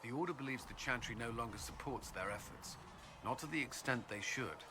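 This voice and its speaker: A man's voice: medium pitch, over music, natural voice. An actor playing an earnest, dutiful military commander in a fantasy video game, a little hesitant in his speech. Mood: measured, earnest. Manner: hesitant, halting when flustered.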